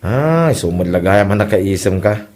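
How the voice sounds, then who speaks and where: A man's voice: deep voice, lively intonation, measured pace, darkish tone. A man playing a teasing, easygoing older village husband in an Ilocano comedy drama, with an Ilocano Filipino accent.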